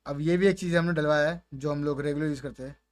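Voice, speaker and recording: High-pitched Indian man, casual gaming commentary. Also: fairly even intonation, quick pace. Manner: informal, slangy, mixes Hindi and English. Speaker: gaming content creator presenting his voice pack.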